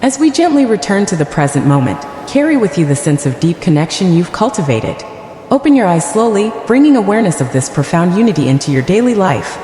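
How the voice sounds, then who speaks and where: A woman leading a scripted guided meditation in a gentle, soothing, deliberate manner. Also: fairly low pitch, sing-song, roomy sound, big-room sound, slight background noise.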